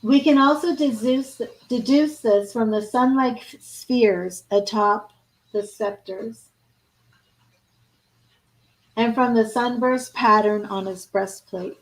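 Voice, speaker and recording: Female tarot reader, hoarse and fading voice, fairly high, mixes read-aloud card text with loose chat. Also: takes it slowly, rough recording. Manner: reads card meanings aloud, then chats and restarts. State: hoarse, losing her voice. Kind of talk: live tarot reading, partly read aloud.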